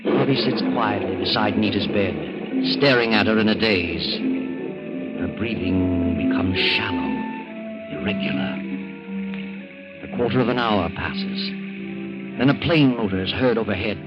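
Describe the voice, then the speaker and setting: An American actor playing a brooding, ominous storyteller who narrates an old-time radio mystery with deliberate drama. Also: male voice, on the low side, lightly acted. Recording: muffled vintage radio recording. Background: loud dramatic music.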